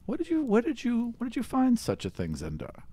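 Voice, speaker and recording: A man voicing a stern, shrewd mother who trains her son as an assassin, speaking in a measured, grave way in a tabletop game. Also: very high voice, wildly swooping pitch, warm tone, voice pushed up, heavily acted. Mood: guarded, probing.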